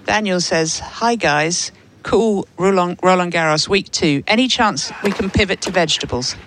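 A woman's voice: lowish voice, normal speed, a bit bright, slight background noise. A woman co-hosting a sports show, reading out listeners' messages with casual, playful banter. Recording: music playing behind, outdoor venue. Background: DJ music at outdoor venue.

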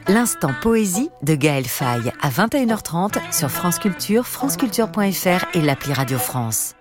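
Lively woman announcer over loud music. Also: lowish voice, measured pace. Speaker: radio announcer. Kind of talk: scripted station promo.

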